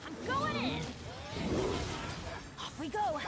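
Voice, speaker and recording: A woman voicing spirited young female video game fighters, with energetic, clipped battle callouts. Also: very high voice, takes it slowly, very noisy, heavily acted. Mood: spirited, combative.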